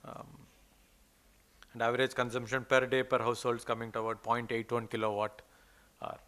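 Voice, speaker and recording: A man's voice: medium pitch, level tone, fairly quick, fairly bright tone. A man with an Indian English accent presenting research slides at a conference, hesitant and full of fillers. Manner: hesitant, many fillers and restarts. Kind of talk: presenting research slides, then answering questions. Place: conference hall.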